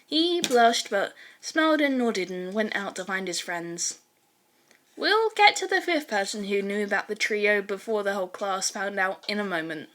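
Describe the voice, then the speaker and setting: A woman's voice: medium pitch, animated, moderate pace, a bit bright. A woman reading fan fiction aloud as a scripted story narration.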